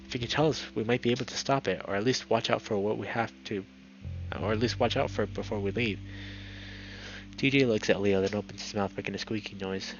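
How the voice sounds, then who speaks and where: A man reading a visual novel aloud in expressive, dramatic narration, now and then stumbling over words. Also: fairly low pitch, brisk, muffled recording, slight background noise.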